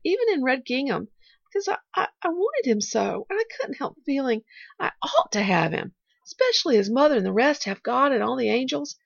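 Woman voicing a high, chattering, eager little orphan girl. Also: creaky, slightly rough, dry recording, muffled recording, exaggerated voice. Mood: tearful, brave. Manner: breathless, rambling, self-correcting. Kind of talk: audiobook character voice.

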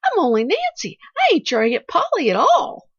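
A woman's voice: fairly high pitch, very animated, a little slow, gravelly, husky, dry recording, dull audio, voice pushed up, full character voice. A woman voicing a flustered, kindly hired girl in an audiobook, with stammering, folksy, uneducated speech. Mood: shocked, dismayed. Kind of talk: audiobook character voice.

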